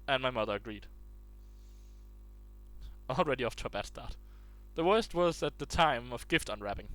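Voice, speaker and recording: Casual male reader of online stories, mid pitch, stumbles over words now and then. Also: lively intonation, moderate pace, a bit bright, dry recording. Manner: restarts and stumbles while reading aloud.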